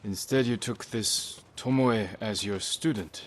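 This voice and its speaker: Man playing low-voiced, solemn samurai warrior. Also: a little slow, a little hiss or hum, close to an everyday voice. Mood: questioning. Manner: solemn, terse, resolute. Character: determined, earnest male samurai warrior, solemn and dutiful. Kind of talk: dramatic video game acting.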